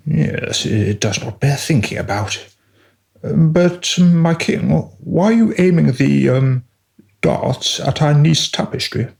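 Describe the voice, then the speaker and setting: An actor playing a deferential, long-suffering royal adviser in a comic radio play, formal and hesitant with dry disapproval. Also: male voice, fairly high pitch, animated, takes it slowly, vocal fry, a little mellow, exaggerated voice. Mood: tactful, exasperated.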